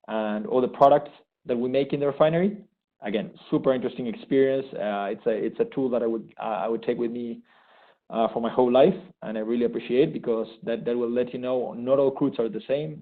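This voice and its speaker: A man, giving an eager, halting testimonial with many restarts. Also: medium pitch. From Argentina. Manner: enthusiastic, halting with frequent restarts. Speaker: graduate program participant. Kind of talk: unscripted testimonial. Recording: thin phone-like sound.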